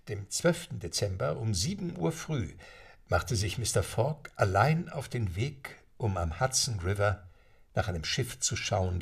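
Low, creaky male storyteller. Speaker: narrator. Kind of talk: scripted audiobook-style drama narration.

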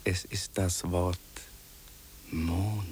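An actor playing a lonely, fearful city man in a 1950s German radio drama, speaking in solemn, deliberate, incantatory phrases. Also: low voice, fairly even intonation, darkish tone, slight background noise. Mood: melancholy, brooding. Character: lonely, fearful, brooding city man listing what he hears. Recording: old radio play on cassette tape.

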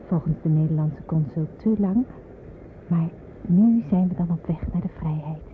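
A noisy, phone-like old radio play recording with vehicle sounds behind an actress as a daughter narrating. Her voice is fairly low. She tells her story in a measured, reflective, scripted way. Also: lightly acted. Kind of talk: scripted first-person radio drama narration.

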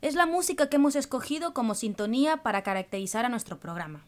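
A woman with a fairly high voice presents on the radio. She explains in a teacherly manner, as if working from a prepared script. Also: lively intonation, quick pace. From El Salvador. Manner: explanatory, didactic. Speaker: radio programme host.

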